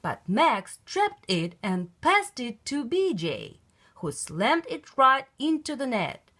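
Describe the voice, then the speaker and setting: A woman reading a children's picture book aloud in an expressive, emphatic storytelling style. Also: high voice, slow pace.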